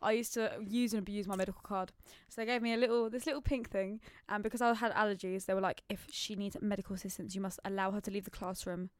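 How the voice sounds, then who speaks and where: A woman's voice: medium pitch, steady tone, fast, few pauses, clean tone, dry recording, clean studio sound. A young adult British woman chatting casually as a podcast co-host, telling anecdotes with slangy, sweary, acted-out quotes.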